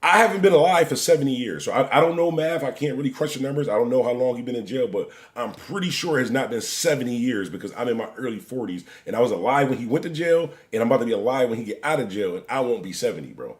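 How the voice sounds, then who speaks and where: Man in his forties, lively swinging pitch, loose rambling stream chat, repeats himself. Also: fast, hardly any pauses. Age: 40s. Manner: "bro" and "gang" at line ends. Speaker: stream host. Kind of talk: casual live-stream commentary.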